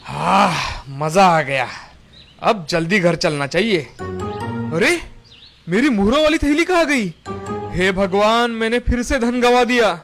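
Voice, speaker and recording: Guy doing an honest cartoon village farmer, high voice, excitable n melodramatic, light music under it. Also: slow pace, heavily acted. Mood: distressed. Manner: melodramatic, exclaiming. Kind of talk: animated moral-story dialogue.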